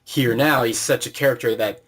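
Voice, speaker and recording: A man's voice: medium pitch, fairly even intonation, normal speed. A man conducting a casual interview, speaking conversationally as the interviewer.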